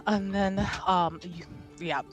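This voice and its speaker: Game master narrating a roleplay session, informal and stop-start, medium pitch, loud music behind. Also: fairly even intonation, highs cut off. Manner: casual, informal, halting.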